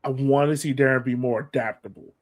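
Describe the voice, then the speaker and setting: A man's voice: medium pitch, steady tone, brisk. Man chatting casually as a podcast host. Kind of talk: casual fan commentary and chat.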